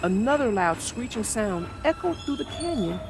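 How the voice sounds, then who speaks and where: A woman's voice: a little high, sing-song, moderate pace. A woman narrator and host reading and chatting theatrically for young children, addressing her young readers directly. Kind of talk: expressive read-aloud and chat for young children.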